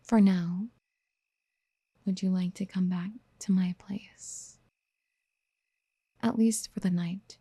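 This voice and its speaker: A woman playing a doting, possessive, motherly yandere in an ASMR roleplay, speaking in soft, breathy whispers with tender coaxing, close to the mic. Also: fairly low pitch, fairly even intonation, takes it slowly, long pauses, no echo.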